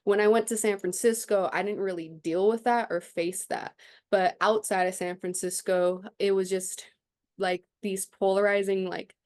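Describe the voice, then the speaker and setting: A woman's voice: mid-range voice, fairly even intonation, moderate pace, darkish tone. A young adult woman, a chiropractor, talking reflectively in a casual interview, often using fillers. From United States, raised partly in Guatemala. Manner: reflective, many fillers like "also too". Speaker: chiropractor guest.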